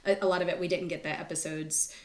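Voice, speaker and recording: Lowish-voiced woman in an echoey room. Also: steady tone, fast. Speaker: actress on a cast panel. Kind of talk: casual panel chat.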